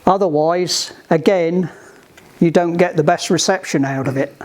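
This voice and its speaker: A man giving hands-on instruction to a group in a halting, deliberate, emphatic way, on an amateur recording. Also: fairly high pitch, a little mellow. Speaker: sailing club instructor giving radio training.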